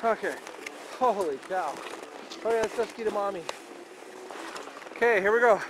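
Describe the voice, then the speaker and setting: A man's voice: high-pitched, normal speed. A man in his forties, a father filming his family at a small snowy ski hill, talking in a chatty, upbeat way and coaching a child along. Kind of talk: casual home video commentary. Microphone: handheld camcorder at arm's length. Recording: noisy outdoor camcorder audio.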